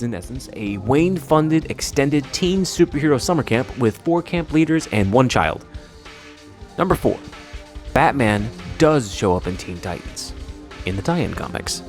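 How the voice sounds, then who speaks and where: A man delivering scripted narration as the presenter of a video essay. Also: mid-range voice.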